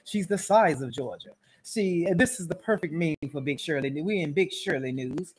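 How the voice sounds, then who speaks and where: A woman's voice: low voice, lively intonation, moderate pace, husky, low-quality recording. Brash, mocking woman roasting casually. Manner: brash, mocking, rambling with repeated phrases. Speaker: host of an online political roast show. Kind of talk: casual, profane comedic roast commentary.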